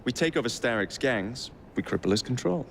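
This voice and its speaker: An actor playing a brash, teasing young Victorian assassin with an English accent and cheeky banter in a game. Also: male voice, mid-range voice, animated, brisk, gravelly, a bit bright, a little hiss or hum. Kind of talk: video game cutscene acting.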